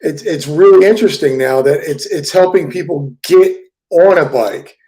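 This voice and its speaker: A man's voice: a little high, normal speed, mellow. A man hosting a casual interview over a video call, talking eagerly with stammered restarts, his audio scratchy. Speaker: host.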